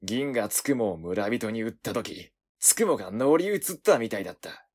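A man voicing a gruff, blunt young accomplice in a voice drama, speaking tersely. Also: fairly low pitch, big pitch swings, normal speed. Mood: sardonic. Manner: terse, rough casual speech. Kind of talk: Japanese voice drama acting.

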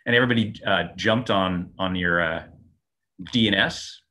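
Middle-aged male guest, low voice, joking, webcam call. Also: a little slow, pushed and bright. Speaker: guest co-host. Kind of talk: casual chat on live stream. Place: home study with bookshelves. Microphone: video call webcam.

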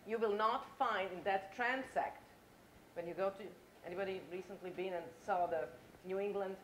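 A woman's voice: mid-range voice, fairly bright tone, a little hiss or hum. A middle-aged woman from Croatia with a Croatian accent, giving a conference talk in a lecture hall and asking the audience questions. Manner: engaging, asks the audience questions.

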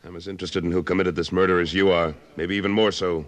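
A man's voice: low voice, steady tone, quick pace, lightly acted. An actor playing a tough, self-assured cattleman hero in an old-time radio western, terse and firm, with an American accent.

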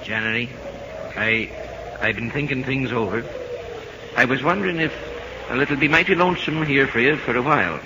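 An actor playing a kindly, rustic seaside friend in an old-time radio drama, speaking in a hesitant, folksy way. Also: male voice, mid-range voice, normal speed, pauses often, dull audio, natural voice. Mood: gentle, hesitant. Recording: noisy vintage radio recording.

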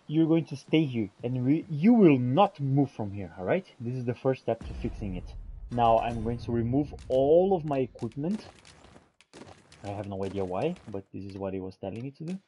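A man's voice: medium pitch, animated, normal speed, warm tone. A man giving casual commentary while he plays a video game. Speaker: gamer playing and commentating.

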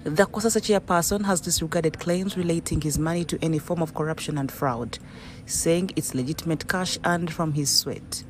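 A man reads a news package in a measured, formal cadence at a mid pitch. There is a faint hiss of noise under his voice. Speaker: news reporter. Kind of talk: scripted news voice-over.

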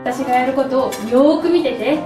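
A woman's voice: high voice, a little fast. A woman talking and trying out lines during a puppet-show rehearsal indoors. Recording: echoey room, distant mic, music over it.

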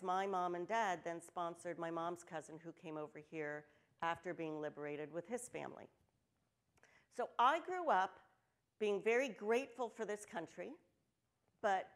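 An older woman from New Jersey giving a conference talk on an auditorium stage, candid and lively, with joking asides. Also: fairly low pitch, normal speed. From New Jersey, United States. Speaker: conference speaker. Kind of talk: storytelling keynote talk. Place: stage of a large auditorium with audience.

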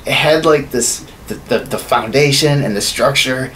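A man's voice: medium pitch, moderate pace, a bit bright, a little hiss or hum. A man in his thirties chatting casually, profane and ranting as a podcast co-host in a small room. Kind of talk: casual opinionated chat about films. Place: small home studio room, indoors.

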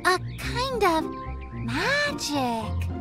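A woman's voice: very high-pitched, sing-song, drawn-out words, music in the background, full character voice. A woman voicing a curious, naive little girl in a children's cartoon. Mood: curious, eager.

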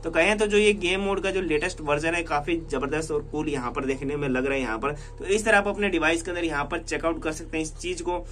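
A man's voice: on the high side, fairly even intonation, fast talker, hardly any pauses, a bit bright, soft background music. A man with an Indian accent narrating a casual hands-on tech tutorial, explaining repetitively and mixing in English words. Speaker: tech vlogger demonstrating a phone feature.

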